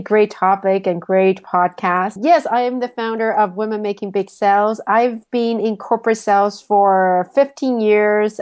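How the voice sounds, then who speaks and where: A woman's voice: mid-range voice, a little mellow. A woman from Taiwan, answering interview questions thoughtfully, with frequent "um"s and restarts. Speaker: guest, sales coach.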